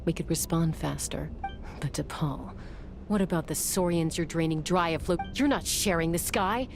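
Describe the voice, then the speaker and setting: Cool no-nonsense lady gunslinger from a game, kinda low voice, brisk n wry, music in back. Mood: resolute. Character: cool, no-nonsense adult woman gunslinger peacekeeper. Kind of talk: video game voice acting.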